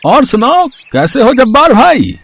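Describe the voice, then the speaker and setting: Man voicing a cheerful, high-pitched village farmer. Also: huge pitch swings, measured pace, gravelly, breathy edge, dry recording, exaggerated voice. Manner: hearty, friendly. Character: cheerful, food-loving village farmer greeting his old friend.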